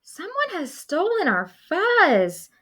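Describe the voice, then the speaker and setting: Woman voicing high, excitable squabbling prairie dogs. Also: takes it slowly, full character voice. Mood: puzzled, accusing.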